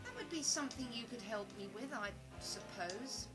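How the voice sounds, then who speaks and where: Actress as sceptical woman loner, highish animated voice, guarded and dry, audio play over music. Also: moderate pace, close to an everyday voice. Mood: guarded, softening. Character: wary, sceptical woman living alone outside society. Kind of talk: audio drama acting. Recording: music under the voice.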